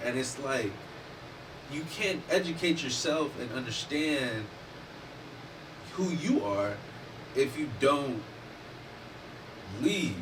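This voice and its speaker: A man talks in a casual, animated way, pressing his points with emphasis and the odd curse. He sits some way from the microphone, and steady background noise runs under his voice. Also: mid-range voice. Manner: animated, emphatic, swears freely. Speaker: podcast host. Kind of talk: casual discussion. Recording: distant mic with noticeable background noise.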